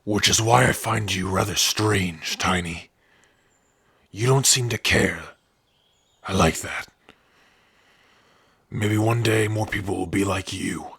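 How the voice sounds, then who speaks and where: A man playing a rough but kind-hearted orc outcast in an ASMR fantasy roleplay, with a gruff, raspy voice and an easygoing, wry way of addressing his companion. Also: on the low side, slow, pauses often, a bit bright, dry recording, studio quality, exaggerated voice. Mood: warm, amused.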